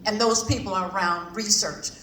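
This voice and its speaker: A woman's voice: mid-range voice, fairly even intonation, moderate pace, sharp tone. A woman giving an informal, colloquial talk to a group, emphatic and repeating phrases for effect. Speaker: community organisation representative. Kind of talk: informal public address to a group. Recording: echoey room, distant mic, background noise.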